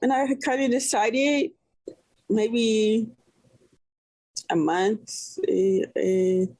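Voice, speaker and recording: A woman's voice: fairly high pitch, steady tone, very slow, a little mellow, no echo, poor audio. A woman from the San Francisco Bay Area, a guest chatting casually on a podcast and rambling with lots of filler words. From San Francisco Bay Area, USA. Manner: rambling, many fillers like "you know". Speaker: guest, cloth diaper brand owner. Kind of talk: casual interview chat.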